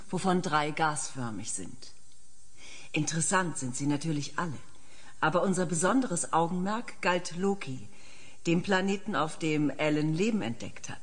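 An actress in a German audio drama playing an enthusiastic planetary scientist, precise and lecturing. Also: female voice, deep voice. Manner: precise, lecturing, openly fascinated.

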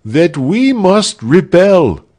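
A man's voice: on the high side, big pitch swings, slow pace, darkish tone, voice pushed up, exaggerated voice. A man voicing a firm, rousing leader of a revolt in a storybook, emphatic and declamatory.

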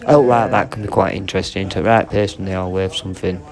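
A man's voice: sits low, fairly even intonation, quick pace. A man with an English accent giving casual, informal vlog commentary to viewers, chatting to them like mates. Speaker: video host and reseller. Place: indoor auction warehouse.

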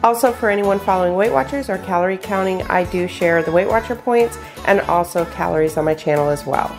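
A woman's voice: on the low side, a little fast, music in the background. Chatty woman walking through recipes. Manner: chatty, explains step by step. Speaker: cooking channel host. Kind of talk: casual meal prep tutorial. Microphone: voice-over while hands cook on camera.

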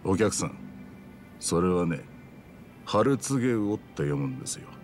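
A composed, courteous restaurant chef speaks in a lowish voice. His manner is measured and polite, in video game dub style, with faint music underneath. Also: very animated, a little mellow. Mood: gently correcting. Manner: measured, polite shopkeeper speech.

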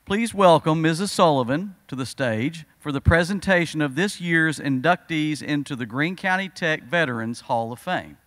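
A man's voice: fairly high pitch, animated, moderate pace, few pauses, a bit bright, no echo. Formal middle-aged male emcee. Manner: formal, reading announcements. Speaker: master of ceremonies. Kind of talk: reading a program script. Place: school auditorium stage at a podium. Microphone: podium microphone.

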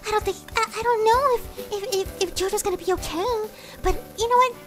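A sweet little cartoon pony girl, with a tiny, squeaky, extremely high voice. She is excitable and nervous, gushing and stammering like a small child while she chats through a game, with music clearly playing behind her. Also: brisk. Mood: nervous, chatty. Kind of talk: in-character let's play commentary.

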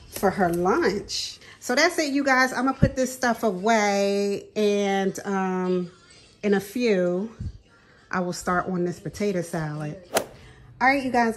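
A woman's voice: mid-range voice, slight background noise. A middle-aged woman, chatting casually to her viewers in her kitchen while she films her groceries, calling them "girl" and laughing often. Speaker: vlogger. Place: home kitchen. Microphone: phone camera filming hands over a counter.

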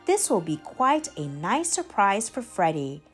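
Female narrator as small Christmas fairy: prim, chiding yet kind, measured pace, expressive melody. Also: mid-range voice, slightly rough, faint music behind. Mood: scolding. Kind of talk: children's storybook character voice.

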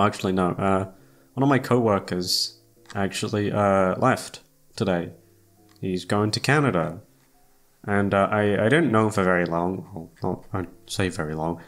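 A young man chatting casually to his listeners in a rambling, gushing, self-deprecating way with plenty of swearing. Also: low-pitched. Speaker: audio roleplay creator. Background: faint music.

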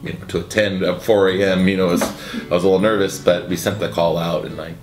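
A man in his forties with a very low voice answers interview questions from his seat by a window. He talks haltingly, restarting his sentences and filling gaps with uhs. Music plays clearly beneath him. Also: level tone, reverberant. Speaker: event co-founder. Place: indoor room by a window, seated. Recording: music bed underneath.

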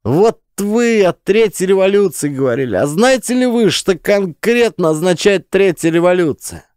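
Guy doing a cynical boozy soviet party man, scornful and loud-ish, pitched up, dry close sound. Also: lively intonation, moderate pace, clear, resonant tone, no echo. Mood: grim. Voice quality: heated, blunt, scornful. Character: cynical, hard-drinking male Soviet party official.

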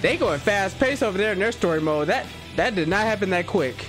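A young man streaming a video game through a headset at home, reacting with loose, slangy excitement. He says himself that his voice is fairly high. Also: brisk. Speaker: gaming streamer. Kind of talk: casual gameplay commentary. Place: home gaming setup, indoors. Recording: game music playing behind. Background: game music and sound effects.

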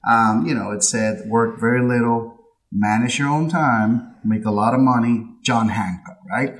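Guest on a video-call interview: a talkative man in his late thirties from Puerto Rico, mid-pitched voice, quick laughs, headset mic. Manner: chatty, animated with hand gestures, laughs often. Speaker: insurance agency owner, guest. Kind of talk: casual interview storytelling. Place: home office. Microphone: headset with boom mic on video call.

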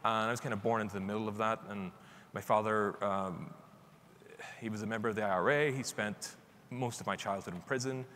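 A man's voice: lowish voice, steady tone, fairly quick, fairly bright tone. Northern Irish man presenting in an echoing hall. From Northern Ireland. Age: middle-aged. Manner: conversational, self-deprecating. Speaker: conference speaker, author. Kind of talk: informal live presentation. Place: large conference stage indoors. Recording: reverberant hall with background noise.

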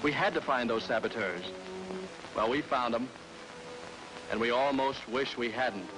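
An actor playing a hunted young American aircraft worker, narrating an old film trailer in an earnest, urgent way over music. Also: male voice, medium pitch, a little slow, natural voice, low-quality recording. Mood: tense.

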